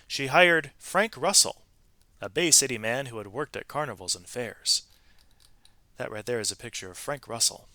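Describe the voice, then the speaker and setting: A man narrates a storybook as a read-aloud for students. His voice is bright and forward at a middle pitch, and he leans on key words with expressive phrasing. The sound is dry and close, with little room around it. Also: no room sound.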